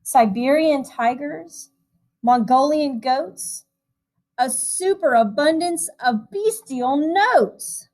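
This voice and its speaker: A woman with a high-pitched voice reads a picture book to young children. She sounds like a music teacher, animated and expressive in her storytelling. She slips between the verse and chatty asides to her young listeners. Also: slow.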